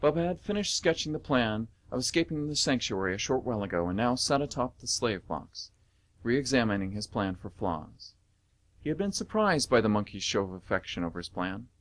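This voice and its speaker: A man announces and narrates for a radio theatre show. His mid-pitched voice moves widely in tune as he reads scripted material. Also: sing-song, fairly quick.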